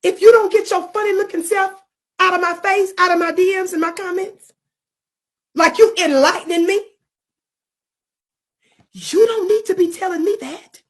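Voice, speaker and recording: A woman's voice: very high voice, moderate pace, long pauses. A woman ranting in a sassy, scolding tone that mocks the people she is answering. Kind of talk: combative online rant.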